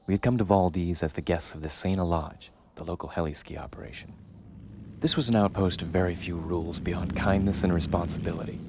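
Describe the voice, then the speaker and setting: A man reading scripted documentary narration in a measured, storytelling way. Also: sits low, darkish tone, a little hiss or hum. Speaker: voice-over narrator. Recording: music bed under the voice. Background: music.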